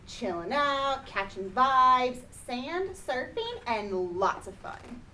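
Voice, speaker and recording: A woman's voice: sits high, big pitch swings, slow, bright, forceful tone. A woman reading a children's picture book aloud expressively, drawing out key words for emphasis. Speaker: storybook reader. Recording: distant mic, faint background noise.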